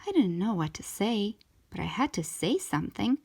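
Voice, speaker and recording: Storytime host, female: very lively intonation, slightly husky, unhurried, expressive children's narration. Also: medium pitch. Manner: expressive, drawn-out emphasis for young listeners. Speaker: storytime host reading a children's book aloud.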